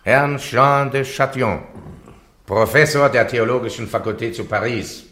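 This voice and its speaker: An actor playing a solemn, authoritative bishop presiding over a trial in a radio play, formal, measured and admonishing. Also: male voice, medium pitch, a bit bright, lightly acted. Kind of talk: German radio play acting.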